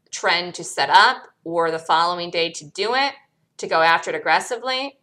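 A woman's voice: on the low side, sharp tone. A woman analyst commenting on a chart, speaking emphatically and repeating words for stress. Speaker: host, stock trader and analyst. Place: indoors, screen-recorded voice-over.

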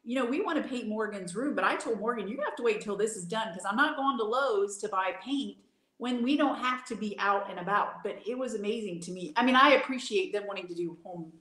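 A woman's voice: mid-range voice, brisk. A woman in her forties co-hosting a yarn shop livestream over a video call, telling everyday stories in a chatty way. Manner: storytelling, animated anecdotes with laughs. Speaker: yarn dyer and shop co-host. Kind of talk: casual livestream chat. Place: room at home. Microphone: webcam video call. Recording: echoey room over webcam.

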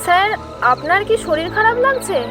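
A woman's voice: very high-pitched, sing-song, normal speed. A woman playing a polite young hotel counter attendant in a horror audio drama. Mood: puzzled. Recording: prominent background music.

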